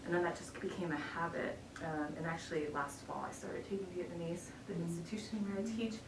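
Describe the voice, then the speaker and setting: A woman's voice: on the low side, fairly even intonation, brisk. A woman poet talking casually to introduce her reading, informal, chatty and self-deprecating. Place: bookshop reading event. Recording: echoey room, distant mic, noisy.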